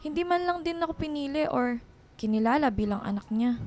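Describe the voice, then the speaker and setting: A woman's voice: sits high, lively intonation, brisk, darkish tone, faint music behind. A young woman playing a wounded, brooding daughter in a radio drama, plaintive and conversational, mixing in some English. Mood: bitter, wistful.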